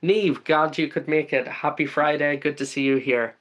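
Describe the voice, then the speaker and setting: A man's voice: on the high side, steady tone, normal speed, fairly bright tone. A man from the UK hosting a casual live stream, greeting viewers by name and rambling with lots of ums and uhs.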